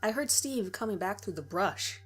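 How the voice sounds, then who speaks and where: A woman's voice: mid-range voice, lively intonation, moderate pace, slightly rough. A woman reading a scripted first-person horror story as its narrator.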